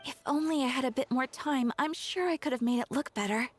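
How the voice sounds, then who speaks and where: Diligent young game girl, high voice, earnest n humble, bit of music under. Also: fairly quick. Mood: relieved, apologetic. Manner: earnest, self-effacing. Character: earnest, diligent, modest young woman organizer. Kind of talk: English video game voice acting.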